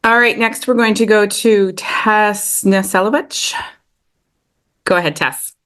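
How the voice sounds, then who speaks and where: A woman's voice: mid-range voice, steady tone, a little slow, a bit bright. Upbeat woman running a public comment session on a video call, lots of ums. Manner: upbeat, effusive, lots of fillers. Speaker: committee chair. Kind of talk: chairing a remote public meeting.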